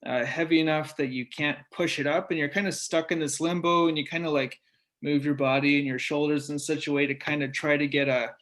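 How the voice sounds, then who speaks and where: A man who stutters, often repeating words and breaking off sounds, as a guest in a relaxed interview conversation. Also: a little high, a little fast. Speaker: podcast guest, military college music director.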